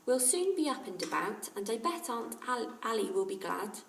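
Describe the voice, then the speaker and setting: A woman's voice: fairly high pitch, very animated, moderate pace, vocal fry, voice pushed up. A woman voicing a kind, chatty boy who is fond of his pet, in a storybook reading. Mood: gently chiding. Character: kind boy recovering from flu, fond of parrot. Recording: roomy, distant microphone.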